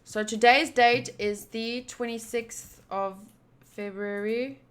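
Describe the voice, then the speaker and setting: A woman's voice: fairly high pitch, steady tone, slow pace, a bit bright. A woman giving casual game playthrough commentary, chatty and musing aloud as she hosts. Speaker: gaming channel host.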